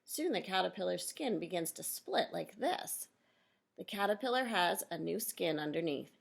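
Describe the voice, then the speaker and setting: A woman reading a children's nonfiction book aloud as a teacher, pausing to explain and question her young listeners directly. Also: fairly low pitch, lively intonation, creaky.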